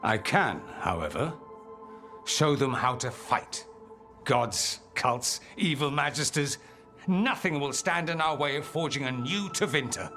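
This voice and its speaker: An actor playing a dramatic, defiant rebel leader in a fantasy video game, with a theatrical, rhetorical manner. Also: male voice, medium pitch, animated, a little slow, over music. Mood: resolute. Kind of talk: video game cutscene acting.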